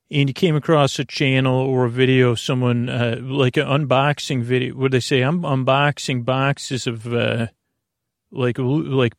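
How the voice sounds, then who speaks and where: A man with a creaky voice hosting a sleep podcast, lulling and soothing as he meanders haltingly through a rambling bedtime monologue. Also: mid-range voice, dry recording, studio quality. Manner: meandering, halting, repeats and drifts off topic. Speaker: podcast host.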